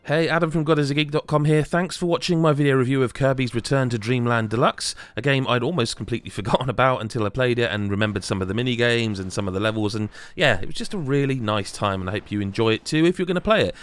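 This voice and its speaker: A man narrates a scripted video game review at a medium pitch. The recording is close and dry, with very little room sound, in the manner of a voice-over. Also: fast, hardly any pauses, clear, resonant tone, dry recording.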